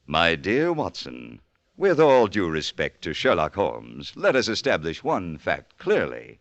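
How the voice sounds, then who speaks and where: American male announcer, lively intonation, formal polished promo read, muffled old radio recording. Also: low-pitched, unhurried, vocal fry, no echo. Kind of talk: scripted 1950s radio promo. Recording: dull vintage radio recording, dry.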